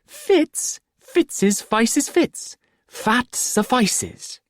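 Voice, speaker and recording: An impish male court fool chants in a shrill, piping voice pitched far above a man's normal range. He swoops wildly in pitch and enunciates every word with arch precision, like a storybook jester, heard dry and close. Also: very animated, very slow, no room sound, full character voice. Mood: singsong chanting. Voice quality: high piping voice. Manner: singsong, elaborately enunciated.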